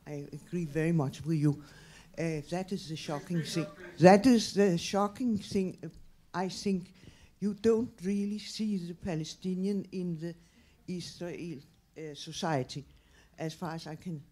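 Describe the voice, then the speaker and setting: Mellow older Danish woman, hesitant. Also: fairly low pitch, steady tone, a little slow. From Denmark. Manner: hesitant, repetitive. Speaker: audience member asking a question. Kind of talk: spontaneous personal comment and question. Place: audience at a public talk.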